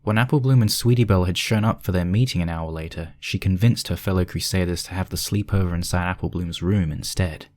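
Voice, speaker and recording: A man's voice: sits low, fairly quick, few pauses, a little mellow. A man reading a scripted horror story as its narrator, in a dramatic storytelling style.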